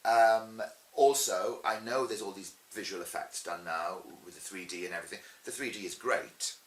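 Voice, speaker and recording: A man's voice: deep voice, moderate pace. A middle-aged man telling anecdotes in an interview as a pantomime performer, chatty, theatrical and emphatic. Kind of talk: animated anecdotal interview talk. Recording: roomy echo with faint hiss.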